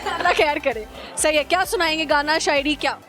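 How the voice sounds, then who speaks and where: A woman's voice: high-pitched, brisk. A woman with a Pakistani accent hosting a casual street interview, playfully teasing and echoing answers back as questions. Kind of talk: casual, bantering vox-pop interview. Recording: music and crowd chatter behind the voice.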